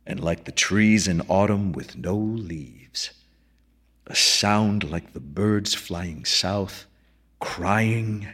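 A man voicing a lonely, solemn inventor from a legend in a story reading, with mournful, incantatory, drawn-out phrasing. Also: sits low, pushed and bright, husky, voice pushed down, full character voice.

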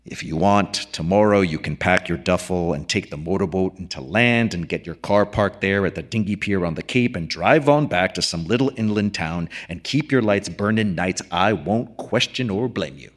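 Man playing a low, husky, brooding lighthouse keeper. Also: normal speed, hardly any pauses. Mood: confiding. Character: brooding veteran male lighthouse keeper, wistful and mysterious.

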